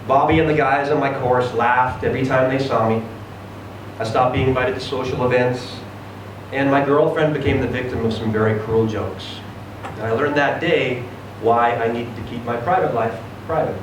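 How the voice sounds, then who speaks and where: A man giving an expressive, theatrical reading of a first-person short story. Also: mid-range voice, normal speed. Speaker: reader of a short story at a live event. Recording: very echoey room, distant mic, noisy.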